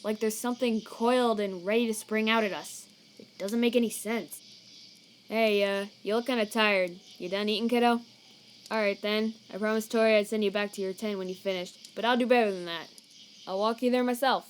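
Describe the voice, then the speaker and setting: A woman voicing a weary, laid-back, protective male skeleton in a comic dub, casual and slangy, halting when troubled. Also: medium pitch, fairly even intonation, slight background noise, close to an everyday voice. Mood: uneasy, tender. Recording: cricket night ambience behind.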